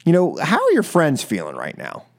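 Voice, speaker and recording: A man's voice: fairly high pitch, huge pitch swings, brisk, vocal fry. A man hosting a political call-in radio show with casual, sarcastic, joking banter.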